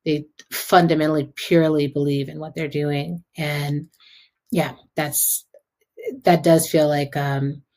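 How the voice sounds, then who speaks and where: A woman's voice: low-pitched, flat delivery, measured pace, darkish tone. An American woman hosting a conversational interview, speaking informally and reflectively as she thinks aloud. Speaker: podcast host and interviewer.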